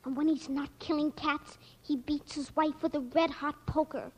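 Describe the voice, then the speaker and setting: A woman's voice: high voice, fairly even intonation, normal speed, darkish tone, natural voice. A young girl playing a feisty, morbid little girl in an old radio drama, dramatic and earnest. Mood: gleefully morbid. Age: child. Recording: muffled, noisy old radio recording.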